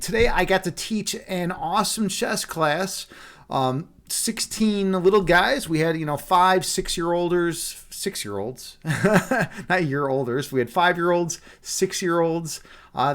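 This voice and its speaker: A young man with a Chicago accent, casually chatting with viewers on a live stream, laid-back and chatty, calling people man and dude. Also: on the high side. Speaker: streamer and chess instructor.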